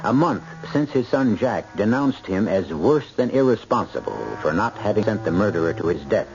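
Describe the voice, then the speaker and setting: A man hosting and narrating an old-time radio drama in a measured, dramatic, literary style. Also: low voice, background noise. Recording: muffled old radio recording.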